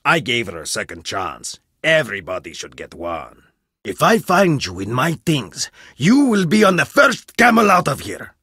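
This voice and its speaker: A blunt, husky-voiced archaeologist. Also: male voice, mid-range voice, wildly swooping pitch, moderate pace, sharp tone, no room sound, studio quality, heavily acted. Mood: stern. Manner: blunt, haughty, dramatic swings in delivery. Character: stern, proud male archaeologist, blunt and distrustful. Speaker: game character voice. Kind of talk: video game voice lines.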